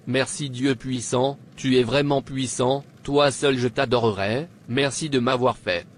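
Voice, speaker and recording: A man's voice: medium pitch, close to an everyday voice. Man voicing an earnest king, faint background noise. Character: pagan king, a meek and fervent new convert. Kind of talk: scripted cartoon dialogue.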